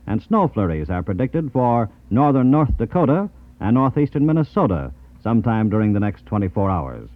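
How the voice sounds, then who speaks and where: An American man reading scripted news and sponsor messages in a formal, measured 1950s radio announcer style. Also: medium pitch, dry recording. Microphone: dry radio studio microphone. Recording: tinny, band-limited 1950s radio tape.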